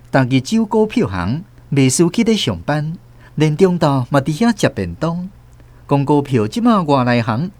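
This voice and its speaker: Animated Taiwanese man recounting family history. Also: on the high side, no room sound. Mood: wry. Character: reflective man recounting his family's past. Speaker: radio drama narrator. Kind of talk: literary scripted first-person story narration. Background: music under the voice.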